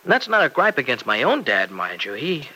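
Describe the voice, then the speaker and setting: An actor playing an earnest, excitable young husband and devoted son-in-law in an old radio serial. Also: male voice, medium pitch, fairly quick, a little hiss or hum, low-quality recording. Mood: chatty, earnest. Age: young adult. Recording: vintage radio recording.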